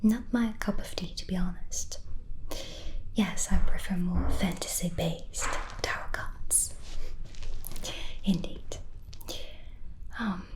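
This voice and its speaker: Slow whispering gentle witch, played by a woman. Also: on the low side, rough recording. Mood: gentle, musing. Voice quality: soft, breathy whisper. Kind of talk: soft-spoken whispered ASMR roleplay.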